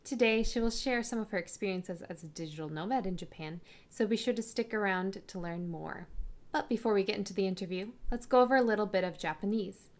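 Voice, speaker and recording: A woman hosting a podcast, reading a scripted intro and slowly spelling out foreign words as a short language lesson. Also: medium pitch. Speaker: podcast host.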